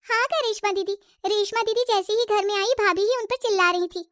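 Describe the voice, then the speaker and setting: Woman as a very high-pitched pleading schoolgirl. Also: fairly even intonation, brisk, fairly bright tone, no room sound, clean studio sound. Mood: earnest. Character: lazy, pleading schoolgirl, youngest sister.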